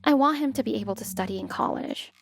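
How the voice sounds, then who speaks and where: A woman voicing a polite, put-upon stepmother who narrates her own story in an expressive manga dub. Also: on the high side, fast talker, vocal fry. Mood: narrating, troubled. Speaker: narrator and lead character. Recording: music bed behind the voice.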